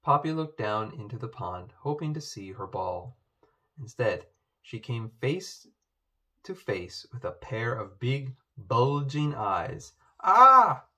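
An animated man reading a children's book. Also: mid-range voice, takes it slowly. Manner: expressive, animated. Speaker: storybook reader. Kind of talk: children's book read-aloud.

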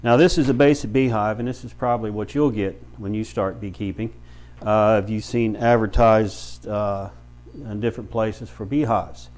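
Plain-spoken middle-aged man, halting delivery. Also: lowish voice, steady tone, a little mellow. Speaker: beekeeper and bee farm shop owner, instructor. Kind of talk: informal instructional demonstration. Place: small brick-walled room with many windows, indoors.

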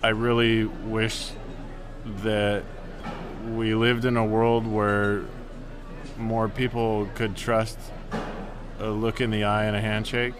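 A man's voice: on the low side, level tone, takes it slowly, pauses often. A man speaking casually in an interview as a business owner. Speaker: brewery owner. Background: music.

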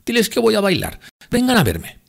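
A man voicing a drunk, impassioned young woman in an audiobook, speaking in a raised, breathy, pleading tone. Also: high-pitched, huge pitch swings, moderate pace, pitched above the performer's own voice, heavily acted. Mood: commanding. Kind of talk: audiobook character reading.

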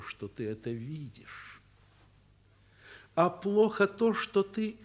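An actor playing a principled, fatherly male school headmaster in a radio drama, speaking deliberately and emphatically with pauses between phrases. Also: sits high, full character voice. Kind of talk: Soviet radio drama acting.

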